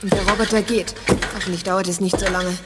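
A woman's voice: medium pitch, little pitch movement, a little fast, heavy background noise, close to an everyday voice. An actress playing a strained, anxious young female telekinetic in a 1980s radio drama.

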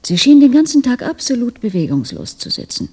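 A woman acting an earnest, devoted female doctor in a German radio drama, speaking softly and huskily in a measured, intimate way. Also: on the high side, huge pitch swings, slightly rough, exaggerated voice. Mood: grave, measured.

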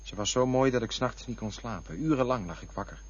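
An actor playing a defensive, wistful man in a Dutch radio play, touchy and drifting into lyrical memories, with a husky voice. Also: medium pitch, fast, natural voice. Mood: wistful reminiscing. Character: defensive, wistful man looking back on lost loves. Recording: dull, lo-fi radio play recording.

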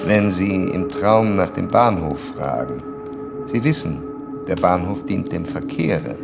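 An actor playing an insistent, self-assured early-1900s psychoanalyst in a radio play, lecturing in pedantic, emphatic German phrases. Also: male voice, low-pitched, animated, slow, vocal fry, over loud music, full character voice. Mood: probing, lecturing. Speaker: doctor.

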